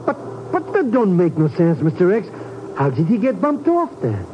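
An actor playing a comic, excitable, streetwise sidekick who talks in muddled slang, in a 1950s radio drama. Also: male voice, high-pitched, normal speed. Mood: baffled, alarmed. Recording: noisy, muffled old radio recording.

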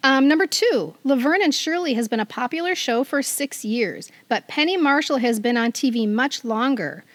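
A woman's voice: sits high, animated, normal speed, dry recording. A middle-aged woman co-hosting a podcast, chatting casually and reading aloud with a playful, theatrical quizmaster delivery. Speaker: podcast co-host. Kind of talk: casual chat, reading quiz questions aloud.

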